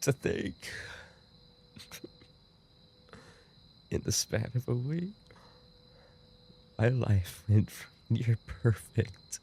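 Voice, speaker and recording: A man's voice: on the low side, vocal fry, dark tone, slight background noise, exaggerated voice. A man playing a dying, obsessive and remorseful yandere roommate in an audio roleplay, speaking in weak, broken, repeated phrases. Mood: resigned, tender. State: weakened, dying from an injury. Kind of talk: boyfriend ASMR audio roleplay acting.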